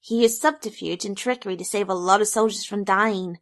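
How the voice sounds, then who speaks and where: A woman's voice: mid-range voice, fairly quick, a bit bright, dry recording, clean studio sound, lightly acted. A woman voicing an eager, bookish young female pony in an audio drama, earnest and easily flustered. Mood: earnest, explaining. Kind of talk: animated audio drama acting.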